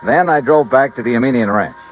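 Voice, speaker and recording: An actor playing a brisk, confident, wry insurance investigator in 1950s radio style, with clipped delivery. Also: male voice, medium pitch, faint music behind, close to an everyday voice. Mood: brisk narrating. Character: brisk, hard-boiled male freelance insurance investigator. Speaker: lead actor in a radio detective drama. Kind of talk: 1950s radio acting, first-person narration and dialogue. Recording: muffled old radio recording.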